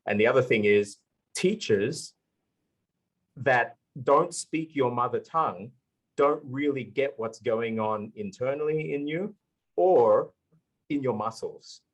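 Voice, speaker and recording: A man's voice: mid-range voice, slow pace, rough recording. A man speaking on a live conference panel, earnestly explaining his ideas with many fillers. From Australia. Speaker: panelist, language YouTuber.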